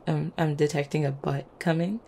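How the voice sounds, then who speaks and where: A woman playing an affectionate, playful vampire girlfriend in an intimate ASMR roleplay, teasing gently with small hesitant stammers. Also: deep voice, steady tone, measured pace, vocal fry, slight background noise. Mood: casual, affectionate. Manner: soft-hearted, teasing, hesitant little stammers and chuckles.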